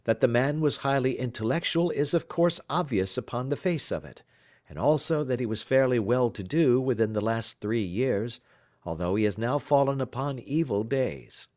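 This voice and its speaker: Audiobook detective performed by a male reader: self-assured, precise, teasing, pompous, with very animated intonation. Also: medium pitch, normal speed, close to an everyday voice. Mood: analytical, assured. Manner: crisp, lecturing, pompous. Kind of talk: audiobook character dialogue.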